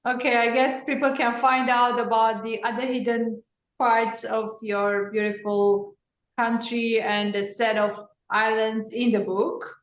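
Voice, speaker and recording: A woman interviewer asking slow, measured questions. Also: on the high side, little pitch movement, reverberant, lo-fi sound.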